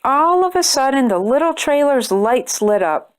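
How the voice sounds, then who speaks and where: A woman reading a children's picture book aloud with expressive, deliberate storytelling and emphatic phrases. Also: high voice. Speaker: storytime reader and host.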